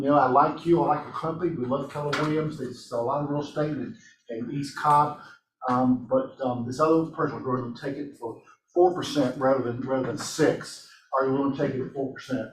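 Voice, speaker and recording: A man's voice: mid-range voice, fairly even intonation, brisk. A man leading a team meeting in a meeting room, upbeat and encouraging, asking the room questions. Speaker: real estate office leader running a team meeting. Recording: echoey room, from a distance, faint noise.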